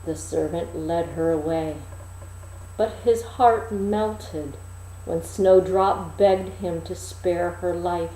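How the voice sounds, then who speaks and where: Woman reading a fairy tale slowly, mellow voice, expressive, bit of room echo. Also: lowish voice, a little hiss or hum. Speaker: storyteller. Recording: echoey room.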